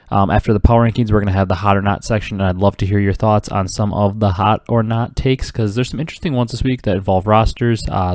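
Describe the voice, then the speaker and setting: Congested young man, fast solo podcast. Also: on the low side, no room sound. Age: 20s. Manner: rambling, filler-heavy, excited esports talk. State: congested with a cold. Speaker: podcast host. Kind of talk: casual solo podcast commentary.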